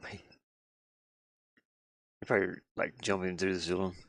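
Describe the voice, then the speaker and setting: A man chatting casually on a live stream as he works on a game, halting and muttering his thoughts aloud. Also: low voice, level tone, dry recording. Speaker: hobby game developer streaming his work. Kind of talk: casual live-stream chat while working.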